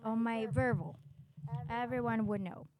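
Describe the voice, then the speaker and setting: A woman from Chile telling personal stories casually as a podcast guest, in an animated, candid, self-mocking way. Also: on the high side, measured pace, a crowd in the background. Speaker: podcast guest, doctor in training.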